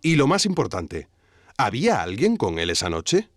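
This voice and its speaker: A man's voice: medium pitch, huge pitch swings, normal speed, no echo, clean studio sound. A man playing an earnest documentary podcast host, narrating in a dramatic, rhetorical scripted style. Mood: solemn, intrigued.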